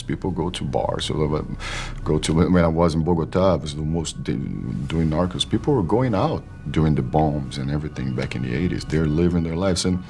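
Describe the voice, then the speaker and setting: A man with a Brazilian accent, an actor speaking haltingly and earnestly in an interview. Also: low voice, darkish tone. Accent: Brazilian Portuguese. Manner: halting, many restarts, earnest. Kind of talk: casual interview chat.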